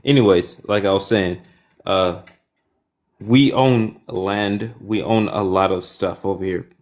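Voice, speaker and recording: Boastful, brusque man with a low voice. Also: fairly even intonation, slow. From United States. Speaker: panel speaker. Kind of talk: heated live debate panel.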